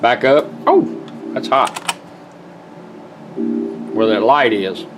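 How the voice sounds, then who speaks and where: A man's voice: mid-range voice, slow, over music, poor audio. A man giving a casual hands-on product demonstration in a plain-spoken, folksy, step-by-step way.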